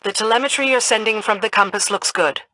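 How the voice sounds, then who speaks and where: An actress playing a stern, weary military commander in a video game, giving serious, brisk briefings. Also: female voice, mid-range voice, bright, forceful tone, no room sound, natural voice, rough recording.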